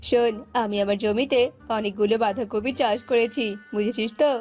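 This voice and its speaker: A woman's voice: a little high, fairly even intonation, normal speed, a little mellow, close to an everyday voice. Woman as a brusque cartoon crow boss, muffled sound. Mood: advising. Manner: brusque, condescending. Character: bossy, scornful male crow farm owner. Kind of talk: cartoon voice acting. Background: music.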